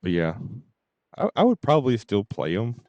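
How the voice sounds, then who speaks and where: A man's voice: lowish voice, huge pitch swings, moderate pace, darkish tone, dry recording, dull audio. A man streaming games and chatting casually in a hesitant, rambling way. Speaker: game streamer. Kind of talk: casual livestream chat.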